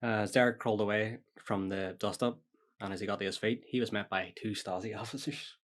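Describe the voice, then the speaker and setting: A man's voice: lowish voice, steady tone, fairly quick. A man hosting a podcast, reading out a prepared story in a casual way with offhand asides. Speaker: podcast host telling true-or-false stories.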